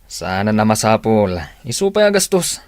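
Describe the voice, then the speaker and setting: Bossy, wildly animated Ilocano husband. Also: male voice, fairly low pitch, normal speed, no room sound, clean studio sound. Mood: dismissive. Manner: bossy, insistent. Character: gruff, controlling, stingy husband and father. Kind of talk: Ilocano radio drama acting.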